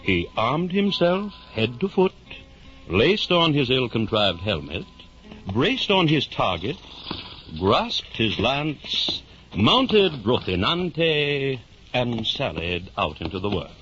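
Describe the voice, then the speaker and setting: A man narrating a literary adventure in the theatrical, measured style of 1950s radio drama. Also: medium pitch, very animated, fairly bright tone. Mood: dramatic, measured. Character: storyteller narrating a knight's adventures. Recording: muffled 1950s radio recording. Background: guitar music.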